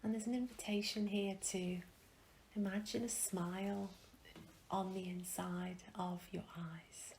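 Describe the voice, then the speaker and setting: A woman leading a guided meditation in a gentle, calm, soft and breathy voice, with long pauses, often trailing into a whisper. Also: mid-range voice, a little hiss or hum, poor audio. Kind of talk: guided meditation, soothing instruction.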